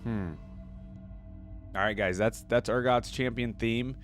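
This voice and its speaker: A man giving casual reaction commentary, thinking aloud in an animated, exclamatory way. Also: medium pitch, takes it slowly. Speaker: reaction video host. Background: music.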